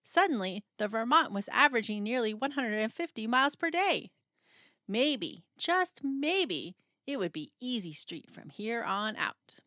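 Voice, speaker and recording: A woman reads a children's story aloud with a dramatic, expressive delivery. Her voice is bright and somewhat high, swinging widely in pitch. She uses suspenseful pauses, and the recording is dry and close. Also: dry recording. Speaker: storybook narrator. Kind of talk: scripted children's read-aloud narration.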